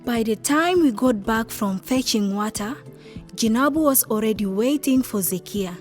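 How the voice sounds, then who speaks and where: Actress as a troubled, higher-voiced young sister. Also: female voice, lively intonation, normal speed, darkish tone, faint music behind, natural voice. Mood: foreboding. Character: anxious young sister narrating her family's troubles. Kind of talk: dramatic first-person narration.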